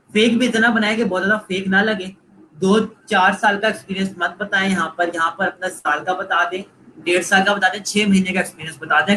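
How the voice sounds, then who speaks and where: A man's voice: high voice, bright, forceful tone, a little hiss or hum, rough recording. A man with a Pakistani Urdu accent giving a live online lecture, coaching students and repeating points while mixing in English phrases. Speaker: online course instructor.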